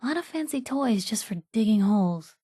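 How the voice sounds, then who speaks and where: A woman's voice: fairly high pitch, animated, brisk, dark tone, slightly rough, dry recording. A woman voicing a wry, curious young female reporter and travel companion in a video game, talking in casual, dry banter. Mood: curious, musing.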